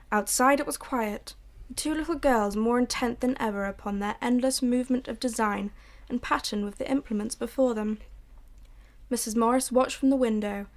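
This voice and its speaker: Young female narrator, brooding delivery, high voice with fry. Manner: measured, brooding storytelling. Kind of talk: scripted dramatic narration.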